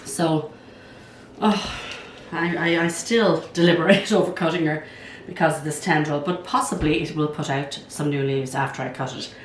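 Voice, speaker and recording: An older woman talks in a low, croaky voice, hoarse from a cold. She chats eagerly and thinks aloud as she works. She is heard from some way off the mic in an echoey room, with a faint hiss behind her. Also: steady tone, moderate pace. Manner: chatty, enthusiastic, thinks aloud while working. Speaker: plant channel host. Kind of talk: casual vlog. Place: at home, indoors. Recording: roomy sound from a distance.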